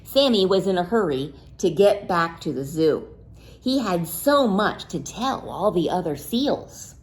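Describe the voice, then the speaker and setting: A woman's voice: on the low side, animated, measured pace, a little hiss or hum. A woman reading a picture book aloud to children expressively, with simple questions and asides to young listeners.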